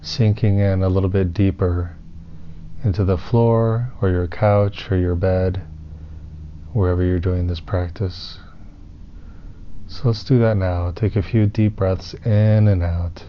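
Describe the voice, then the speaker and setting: Man, low voice, meditation teacher, soothing unhurried instruction, pauses between phrases, muffled recording with noticeable noise. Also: steady tone. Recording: muffled, with clear background noise.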